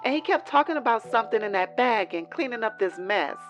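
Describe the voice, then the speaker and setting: Female actor as streetwise mistress, audio drama: highish voice, blunt, defiant, music underneath. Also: expressive, fairly quick, natural voice. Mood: agitated. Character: feisty, streetwise woman, mob enforcer's mistress. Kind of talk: dramatic audio story acting. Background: background music.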